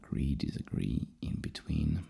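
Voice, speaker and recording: A soft-spoken ASMR host playing a psychologist, musing in a halting way and repeating words. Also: rumbling low, animated, vocal fry, breathy edge.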